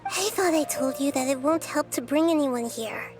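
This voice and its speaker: A woman plays a little goddess from a video game, calm and knowing. Her voice is high, soft and childlike, speaking gently over clearly audible music. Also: normal speed, close to an everyday voice. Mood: patient, chiding.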